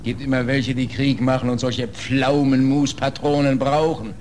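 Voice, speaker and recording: A man's voice: medium pitch, little pitch movement, normal speed, a little mellow, lightly acted. A man playing a hungry, cynical sailor in a 1940s radio drama, terse, wry and colloquial. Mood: wry, bitter. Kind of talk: 1940s German radio play acting. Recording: noisy old 1940s radio recording.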